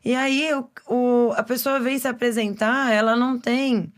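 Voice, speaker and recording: A woman's voice: on the high side, fairly even intonation, measured pace. Chatty Brazilian woman hosting a podcast. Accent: Brazilian Portuguese. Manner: chatty, filler-heavy, emphatic. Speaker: podcast host. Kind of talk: casual interview chat.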